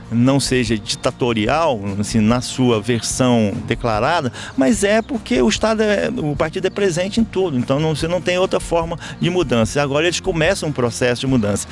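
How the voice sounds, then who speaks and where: A Brazilian man with a Brazilian Portuguese accent, an interview guest speaking reflectively, with restarts and fillers. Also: medium pitch. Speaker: election observer guest. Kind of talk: conversational interview.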